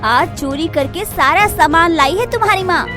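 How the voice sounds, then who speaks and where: Cartoon villain: actress as nasty young daughter-in-law, very high voice, scolding and sharp-tongued, light music underneath. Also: female voice, lively intonation, normal speed. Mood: accusing. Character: cruel, bossy, shrill young daughter-in-law. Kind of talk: exaggerated Hindi cartoon story acting.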